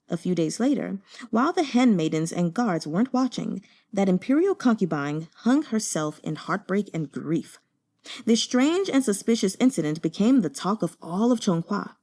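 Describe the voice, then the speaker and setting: A woman narrating a novel as an audiobook, in a measured, dramatic storytelling manner. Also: medium pitch, mellow. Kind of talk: expressive scripted narration of a novel.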